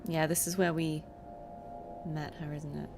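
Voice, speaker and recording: A woman's voice: deep voice, little pitch movement, a little slow, heavy background noise. A woman game streamer giving casual, reflective livestream commentary, thinking aloud as she plays.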